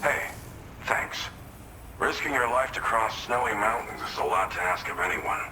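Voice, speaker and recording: Low, bright-voiced affable researcher. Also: male voice, expressive, moderate pace, a little hiss or hum, rough recording. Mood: grateful, impressed. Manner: informal, conversational, explanatory. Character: affable, grateful male researcher in an isolated shelter. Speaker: researcher in a mountain shelter. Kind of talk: video game dialogue.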